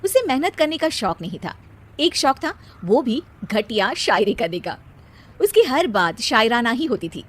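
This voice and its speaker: A woman's voice: on the high side, big pitch swings, a little slow. A woman narrating a scripted children's story.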